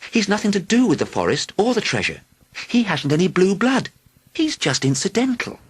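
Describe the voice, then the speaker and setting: A man's voice: high-pitched, sing-song, normal speed, slightly rough, pitched above the performer's own voice, full character voice. A man voicing a gracious young princess in a storybook, polite and pleading.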